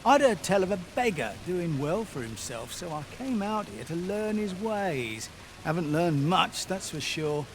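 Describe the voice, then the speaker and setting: Nosy doubtful villager in a fantasy game, high voice, chatty folksy talk, faint noise behind. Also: male voice, sing-song, unhurried, full character voice. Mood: skeptical, curious. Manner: colloquial folksy speech with tag questions. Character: skeptical, nosy male townsman idler. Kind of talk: scripted fantasy game dialogue.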